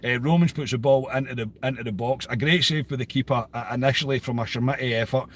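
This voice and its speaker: Scottish guy hosting a footy podcast, bit croaky, chatty, faint hum behind. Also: mid-range voice, steady tone, fast talker. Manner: chatty, repeats phrases for emphasis. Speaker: podcast host. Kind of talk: casual football chat.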